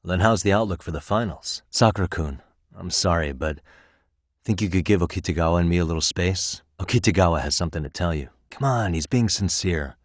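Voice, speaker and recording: A man's voice: sits low, a little fast, dry recording, clean studio sound. A man playing a cool, principled, easygoing investigator in an English-language video game, speaking in a casual, self-assured and earnest way. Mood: warm, curious.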